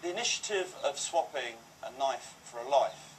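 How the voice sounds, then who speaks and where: A man's voice: mid-range voice, animated, normal speed. A British man, a police officer, giving a scripted public safety appeal in a formal, earnest manner. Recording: distant, slightly noisy screen playback.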